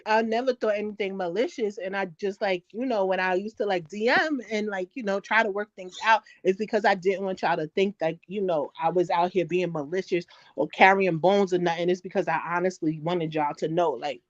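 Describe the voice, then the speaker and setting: An American woman speaking casually in an online group call, explaining herself earnestly and haltingly. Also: mid-range voice, steady tone, dry recording. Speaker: participant in a group live stream. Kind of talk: casual chat. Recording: dull lo-fi live-stream audio.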